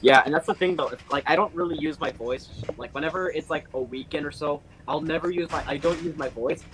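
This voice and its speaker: A man's voice: on the high side, a little fast, noisy background. A young man chatting casually over a call, rambling with lots of fillers and restarts. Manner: rambling, full of fillers and restarts, says his natural voice is quiet. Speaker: channel owner and voice actor.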